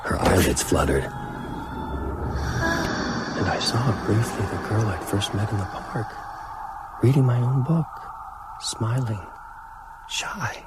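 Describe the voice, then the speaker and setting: A man's voice: lowish voice, expressive, slow, long pauses, heavy background noise. An actor playing a haunted, grief-stricken man narrating horror in a first-person radio drama. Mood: horrified. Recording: loud music underneath.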